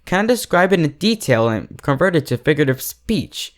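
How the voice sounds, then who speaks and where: A man's voice: a little high, sing-song, a little fast. A man speaking as the host of an online fiction review channel, giving a scripted critique straight to his viewers.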